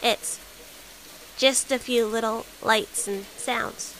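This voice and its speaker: Lady doing a worried small boy in a horror drama, slow n hesitant, bright voice, bit of hiss. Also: on the high side, steady tone, low-quality recording. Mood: timid, uneasy. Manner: hesitant, halting questioning. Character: anxious, doubtful young boy, the son. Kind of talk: audio horror drama acting. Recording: hissy background noise.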